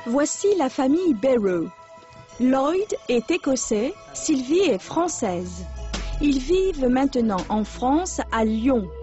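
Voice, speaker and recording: A woman narrator reading a scripted documentary text with calm, measured delivery. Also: high voice, lo-fi sound, soft background music.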